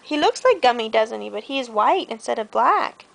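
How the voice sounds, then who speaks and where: A woman chatting playfully with a toddler over a picture book, prompting and encouraging in animated child-directed talk. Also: a little high, normal speed, vocal fry. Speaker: mother reading a picture book with her child.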